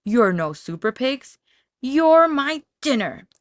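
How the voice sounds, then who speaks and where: A woman's voice: sits high, very animated, slow, no room sound, studio quality, voice pushed up, exaggerated voice. A woman voicing a sly, boastful male big bad wolf for a children's storybook, sneering and theatrical. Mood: triumphant, menacing. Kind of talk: children's storybook character reading.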